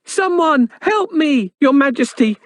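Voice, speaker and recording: A woman voicing a clever, eager male talking cat in a children's storybook, in an expressive, theatrical way. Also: high-pitched, a little slow, no room sound, studio quality. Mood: pleading, wailing. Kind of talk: children's storybook character acting.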